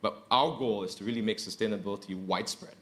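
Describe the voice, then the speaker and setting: A man's voice: fairly low pitch, quick pace, fairly bright tone. A man, speaking earnestly as a corporate executive on a panel and repeating phrases for emphasis. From Indonesia. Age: 30s. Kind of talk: panel discussion.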